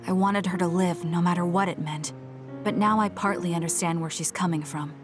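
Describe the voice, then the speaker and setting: Woman acting blunt, low-toned young female soldier over music. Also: fairly even intonation, fairly quick, close to an everyday voice. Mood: earnest, contrite. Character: stern, blunt young female soldier, reserved but caring. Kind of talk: video game English voice acting. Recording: game music behind the voice. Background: game music.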